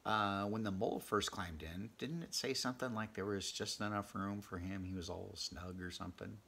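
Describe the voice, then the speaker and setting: A middle-aged man reading a picture book aloud at home, expressive, pausing to chat about the pictures and dropping into whispers. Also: on the low side, creaky, slight background noise. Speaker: read-aloud storyteller. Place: home room, indoors.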